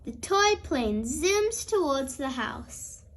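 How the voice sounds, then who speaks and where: A woman reading a children's storybook aloud as host, in an expressive storytelling style. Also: high voice, slow, a little hiss or hum.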